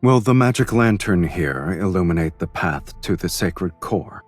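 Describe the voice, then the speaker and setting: An actor playing a cool, teasing, powerful male fiend in a romantic fantasy game, speaking in a smooth, measured, understated way. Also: sits low, lively intonation, faint music behind. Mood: cool, amused.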